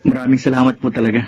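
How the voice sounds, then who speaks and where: A man's voice: mid-range voice, natural voice. A man playing a polite, protective young older brother in a Filipino radio drama, with a Filipino accent, on a dull, noisy recording. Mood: grateful, shy.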